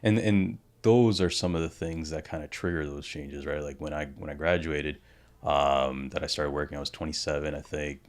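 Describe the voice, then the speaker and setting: A man in his thirties with a strikingly deep voice, born in the Dominican Republic, answers interview questions. He speaks in a relaxed, reflective way, often stopping to restart his sentences and working in "you know" as he goes. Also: normal speed. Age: 30s. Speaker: dentist, podcast guest. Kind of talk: candid conversational interview.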